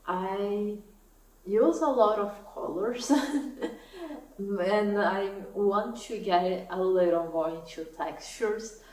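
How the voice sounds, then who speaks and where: Slow, soft-spoken woman. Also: medium pitch. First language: Portuguese. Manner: soft-spoken, thoughtful, laughs often. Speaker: knitting vlogger. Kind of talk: casual chat to camera. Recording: echoey room, mic at a distance, faint hiss.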